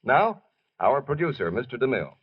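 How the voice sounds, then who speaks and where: A man announcing a polished 1940s radio commercial in a scripted sales style, with a husky voice. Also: medium pitch, big pitch swings, a little fast. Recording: muffled vintage radio recording.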